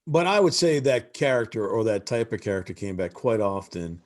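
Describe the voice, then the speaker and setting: A man's voice: fairly low pitch, big pitch swings, brisk. A man on a panel, talking casually and eagerly over a video call, often trailing into asides.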